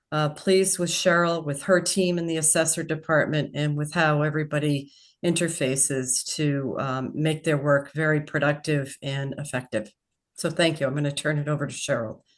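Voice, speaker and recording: A woman chairing a town assessors board, speaking at a public meeting in a friendly, chatty way with a self-deprecating touch. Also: deep voice.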